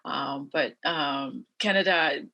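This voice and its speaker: A woman's voice: low-pitched, slow, lo-fi sound. A woman in her forties from Liberia, a guest in an interview, telling her life story in an earnest, reflective way.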